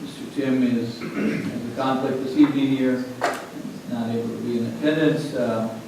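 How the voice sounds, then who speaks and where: A man giving a school report at a board meeting, measured, with frequent "uh"s. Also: medium pitch, fairly even intonation, background noise. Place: large meeting room. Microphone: distant room mic. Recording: echoey room from far off.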